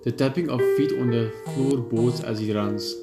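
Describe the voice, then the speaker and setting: Male game reader, calm reflective narration, mid-pitched, roomy sound with a music bed. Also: normal speed. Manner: steady, reflective reading with small slips and restarts. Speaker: gamer reading a visual novel aloud. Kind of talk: first-person story narration.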